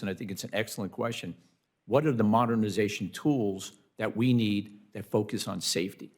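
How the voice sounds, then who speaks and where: A man testifying as a witness at a hearing, explaining his points formally but unscripted, in a measured, courteous way. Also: lowish voice. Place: committee hearing room.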